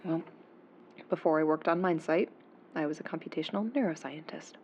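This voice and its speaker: Woman playing a haunted defiant lady scientist confessing, lowish hushed voice, audio drama, dull lo-fi sound. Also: fairly quick, slight background noise, close to an everyday voice. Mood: grave, confiding. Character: haunted, defiant female neuroscientist confessing secrets.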